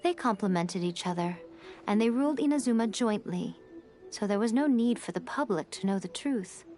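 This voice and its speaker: A woman's voice: medium pitch, animated, moderate pace, a little mellow, faint music behind, natural voice. A woman playing a sly, elegant shrine priestess in a video game, speaking in a composed, formal and knowingly playful way. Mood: composed, explanatory. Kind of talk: scripted game story dialogue.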